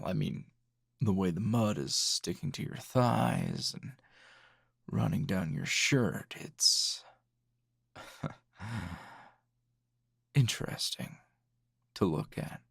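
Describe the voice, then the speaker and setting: A man performs a gruff, teasing male anime hero for an ASMR roleplay. The voice is gravelly and dry, recorded close without room sound. Delivery swings widely in pitch, halting and flirtatious. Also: a bit bright, no echo, heavily acted. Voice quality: gravelly, husky. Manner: slow, teasing, halting with sighs and chuckles. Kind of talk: ASMR roleplay, intimate scripted acting.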